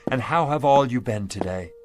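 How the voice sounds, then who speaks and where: An actor playing a kindly, folksy pitchman of sixty-nine who tells tales with theatrical sales patter in a radio drama. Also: animated, moderate pace, background noise, lightly acted. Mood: friendly. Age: older. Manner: theatrical salesman patter with children, gentle and chatty. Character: kindly sixty-nine-year-old male street pitchman, folksy storyteller. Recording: music under the voice.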